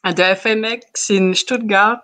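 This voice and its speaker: A woman in her twenties from Switzerland, introducing herself on a video call with halting, filler-filled speech. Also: mid-range voice, steady tone. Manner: hesitant, many fillers, laughs softly. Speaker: art contest winner.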